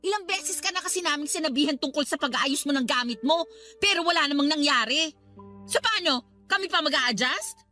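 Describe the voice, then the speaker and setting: A woman's voice: sits high, expressive, a little fast, bright, forceful tone, soft background music. An actress playing an exasperated young woman in a radio drama, firm and reproachful as she lectures a housemate. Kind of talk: Tagalog radio drama acting.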